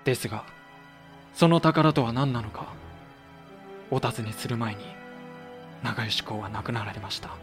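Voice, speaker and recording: A man's voice: mid-range voice, a little slow, long pauses, faint music behind, natural voice. A man playing a composed, guarded tea master who speaks formally and deferentially in a Japanese period audio drama. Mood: earnest, defensive.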